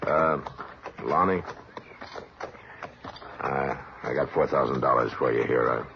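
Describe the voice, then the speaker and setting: Tired old-west marshal, super deep voice, stern and wry, muffled radio show recording w/ crowd. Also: male voice, unhurried, vocal fry, background noise, full character voice. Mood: gentle. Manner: wry, plain-spoken. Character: weary, stern frontier marshal, adult man. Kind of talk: 1950s radio western acting.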